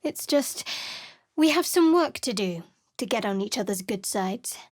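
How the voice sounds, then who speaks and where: A woman voicing a guarded young female cleric of a dark goddess in a video game, cool, reserved and wry, whispering her prayers close to the mic. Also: mid-range voice, lively intonation, a little slow, dry recording. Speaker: game companion character. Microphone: close studio mic.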